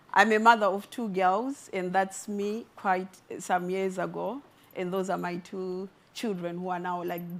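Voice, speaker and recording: A middle-aged woman from Zimbabwe, an artist giving a talk with a spoken-word poem, delivered in a slow, rhythmic, measured way. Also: medium pitch. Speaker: artist and speaker.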